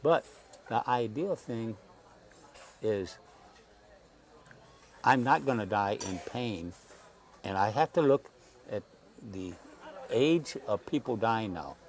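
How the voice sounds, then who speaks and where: A man in his eighties, a guest on a talk show, speaking slowly and haltingly and repeating words as he searches for them. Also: lowish voice, lively intonation. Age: 80s. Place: Saipan.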